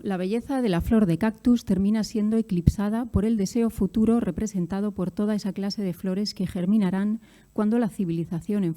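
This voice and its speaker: A woman presents a prepared academic paper. Her voice is extremely mellow, with little edge, and sits at an average height for a woman. The phrasing is formal and literary, clearly read a script. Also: mid-range voice. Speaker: academic conference speaker.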